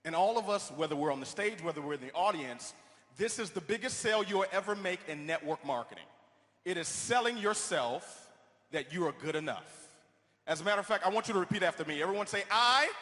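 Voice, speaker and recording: A man's voice: sits high, animated, brisk, sharp tone. A man closing an event with a commanding motivational speech, directing the audience. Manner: commanding, leads the crowd. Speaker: closing speaker at a business event. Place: large hall on stage. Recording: reverberant hall via PA.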